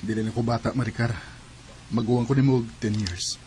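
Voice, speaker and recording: An actor playing an earnest grown suitor in a radio drama, with a Cebuano Filipino accent. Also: male voice, mid-range voice, steady tone, fairly quick, darkish tone, slight background noise, natural voice. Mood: earnest, courting. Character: earnest male suitor, older than his sweetheart.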